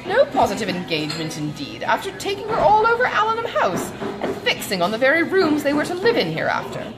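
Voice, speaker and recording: Woman voicing a gushing, excitable older gossiping matron. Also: sits high, a little fast, loud music behind the voice, a loud crowd, pitched above the performer's own voice. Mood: indignant. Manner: gushing, exclamatory, rambling.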